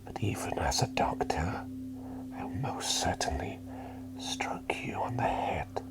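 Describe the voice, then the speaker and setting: A man playing a gentle, doting plague doctor in an ASMR roleplay, whispering soothingly in a politely genteel, rambling way. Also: deep voice, lively intonation, slow pace, heavily acted. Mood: tender, soothing. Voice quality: soft breathy whisper. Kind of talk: ASMR roleplay, personal attention.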